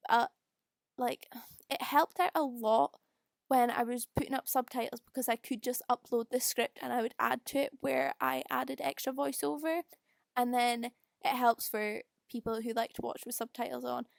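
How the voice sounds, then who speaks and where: Chatty young Scottish woman, higher-pitched. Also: steady tone, normal speed, vocal fry, no room sound, clean studio sound. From Scotland. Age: young adult. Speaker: video creator doing a voice-over. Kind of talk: casual unscripted rambling chat. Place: quiet room at home.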